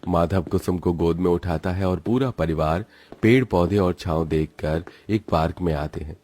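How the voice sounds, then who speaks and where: A man's voice: deep voice, normal speed, dark tone. A man narrating a dramatic scripted story. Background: faint music.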